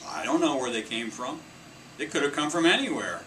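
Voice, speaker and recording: A man's voice: mid-range voice, big pitch swings, fairly quick, pitched above the performer's own voice, lightly acted. A man voicing a sullen, troublemaking schoolboy in a storybook, defiant and protesting. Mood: feigned innocence. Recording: distant mic, clear air-conditioning hum.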